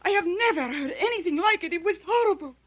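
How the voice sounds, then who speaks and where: An actress playing a nervous, dutiful French nursing sister in a 1930s radio drama, deferential and breathlessly dramatic. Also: female voice, very high-pitched, animated, fast talker, fairly bright tone, no echo. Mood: panicked. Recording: dull, muffled vintage radio recording.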